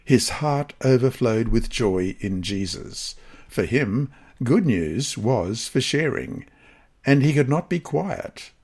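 A man with a husky voice reads a scripted devotional lesson aloud in a measured, careful manner. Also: mid-range voice, expressive, darkish tone. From Australia. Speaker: lesson reader.

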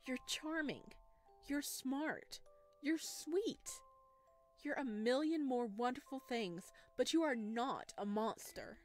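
Woman acting an earnest, emphatic heroine, pitched up. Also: slow pace, darkish tone, soft background music. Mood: insistent, heartfelt. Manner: emphatic, heartfelt. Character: earnest, caring visual novel heroine, bold when moved. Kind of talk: visual novel character reading.

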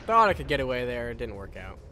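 A man's voice: mid-range voice, big pitch swings, fast, bright, forceful tone, soft background music. A man giving casual live gameplay commentary, muttering questions to himself and swearing mildly. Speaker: gaming YouTuber. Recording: faint game sound behind commentary. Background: video game sound.

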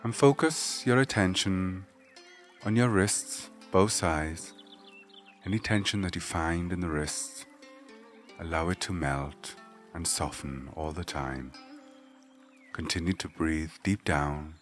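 Very slow, deep-voiced man guiding meditation. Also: faint music behind. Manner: gentle, measured, instructing. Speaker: meditation guide. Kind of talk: guided meditation, slow spoken instruction.

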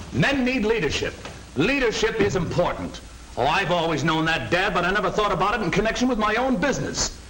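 An actor playing an earnest middle-aged American sales manager in a 1940 training film, speaking in a firm, instructive way. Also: male voice, sits high, sharp tone. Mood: reflective, earnest. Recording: noisy old 1940 film soundtrack.

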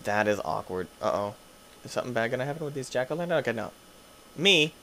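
Young man, gaming commentary, goofy, tired late-night voice, animated pitch. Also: fast talker, a little hiss or hum. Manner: goofy, playful, jumps between mumbling and yelping. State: tired, voice getting deeper. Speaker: gaming youtuber. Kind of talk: casual gameplay commentary.

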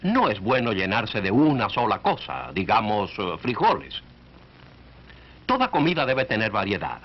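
Male narrator for a 1940s health short: instructive, lively intonation, addresses the viewer directly, muffled old film sound. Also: lowish voice, normal speed. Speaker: narrator of an educational cartoon. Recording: dull, band-limited 1940s film soundtrack.

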